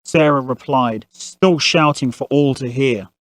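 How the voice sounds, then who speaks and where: A man's voice: mid-range voice, a little slow, no echo. A man narrating a family saga as a scripted audio drama storyteller.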